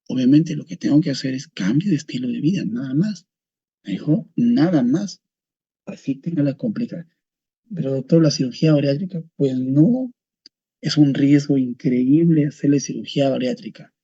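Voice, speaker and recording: A man's voice: mid-range voice, creaky, mellow, breathy edge, no echo. A man, a doctor, giving an online medical lecture in a firm, explanatory way, speaking straight to his students over a video call. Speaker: doctor teaching a medical course. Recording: dull lo-fi video call audio.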